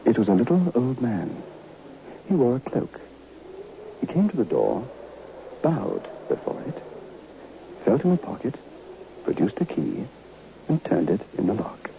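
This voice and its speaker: An actor playing a haunted, curious former student who narrates a vintage radio horror tale in a deliberate, literary, brooding way. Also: male voice, mid-range voice, sing-song, gravelly. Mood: intrigued, hushed. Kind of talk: dramatic first-person horror narration. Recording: muffled, noisy old radio recording.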